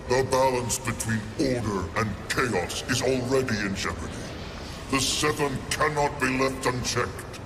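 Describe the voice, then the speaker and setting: An actor playing a stern, imperious council spirit in a video game, solemn, commanding and portentous as it passes judgment. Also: male voice, mid-range voice, gravelly, background noise. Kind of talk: video game cutscene acting.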